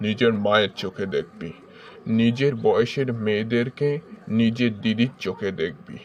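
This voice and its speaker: A man's voice: fairly low pitch, normal speed, slight background noise, close to an everyday voice. A man voicing a grumbling, sarcastic middle-aged father and husband in a comedy cartoon dub. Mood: lecturing. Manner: sarcastic, lecturing.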